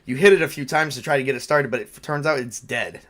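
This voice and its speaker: Male game master, mid-pitched, casual and animated storyteller for tabletop roleplay. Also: fast.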